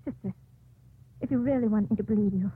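A woman's voice: medium pitch, fast, breathy edge, no echo. A woman playing a proud, bitter young prisoner condemned for murder, sardonic and clipped, in a 1950s radio drama. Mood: challenging, desperate. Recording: muffled, dry 1950s radio broadcast.

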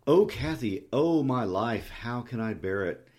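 A man's voice: low-pitched, lively intonation, measured pace, darkish tone. A man voicing a tormented, savage and despairing lover in a dramatic novel reading, emphatic and accusing.

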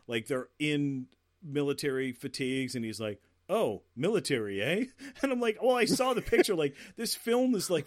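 A man's voice: medium pitch, no room sound, studio quality. A man co-hosting a casual movie review chat, blunt and laughing often, swearing freely. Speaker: movie review podcast co-host.

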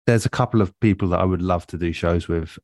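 A man speaking as an interviewed radio producer in a relaxed, reflective way, thoughtful and measured, often pausing mid-phrase. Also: deep voice, no room sound, studio quality.

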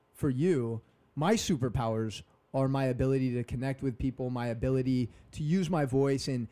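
A man's voice: mid-range voice, mellow. A young man hosting a podcast, talking in a casual, upbeat and motivational way with some slang. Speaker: podcast host. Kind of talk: casual conversation.

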